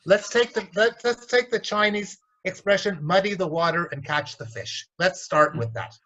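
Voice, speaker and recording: Blunt, animated man on a lo-fi call. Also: high voice, moderate pace, a little hiss or hum. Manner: blunt, animated, mimics voices in stories. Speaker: organisation development consultant. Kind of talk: candid interview storytelling.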